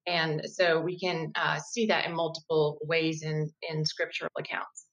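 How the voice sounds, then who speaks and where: A woman in her fifties speaks as an interview guest, her voice sitting a little low. She explains things in a measured, thoughtful way and sometimes restarts a sentence while she thinks. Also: little pitch movement, fairly bright tone, low-quality recording. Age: 50s. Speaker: guest, diversity educator. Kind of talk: conversational interview.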